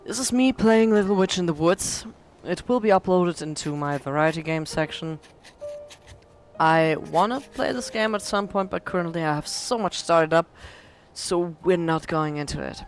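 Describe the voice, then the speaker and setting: A hoarse woman casually rambling through gameplay commentary as a let's play creator, self-deprecating with many fillers. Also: on the low side, normal speed, faint music behind.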